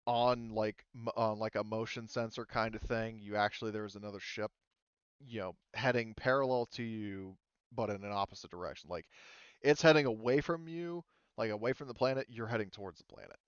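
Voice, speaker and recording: A man narrating a tabletop roleplaying game as game master, improvising in a halting way with many pauses. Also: lowish voice, clean tone, no room sound, dull audio.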